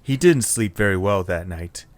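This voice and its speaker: A man's voice: sits low, animated, normal speed, dry recording. A man reading scripted story narration as the narrator of an audio drama.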